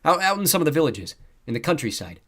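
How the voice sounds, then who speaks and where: Man playing an earnest teenage boy newscaster, pitched up. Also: big pitch swings, a bit bright. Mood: earnest, insistent. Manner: halting, insistent explaining.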